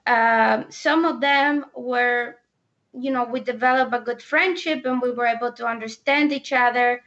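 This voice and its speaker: Young dominican woman w/ accent, kinda raspy fry, lots of ums, muffled call sound. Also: a little high, fairly even intonation, unhurried, fairly bright tone. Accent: Dominican Spanish. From Dominican Republic. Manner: thoughtful, hesitant with many ums. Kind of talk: casual discussion.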